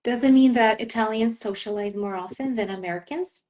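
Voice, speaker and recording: Polish woman interviewer, higher voice. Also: brisk, warm tone, rough recording. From Poland. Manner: supportive, frequent brief backchannels. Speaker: English teacher, interviewer. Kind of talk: casual conversational interview.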